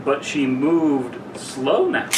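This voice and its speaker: A man's voice: mid-range voice, very animated, extremely slow, distant mic. A man talking casually with friends, blunt and heavily profane. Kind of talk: casual crude banter among friends. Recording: roomy, off-mic, noisy background.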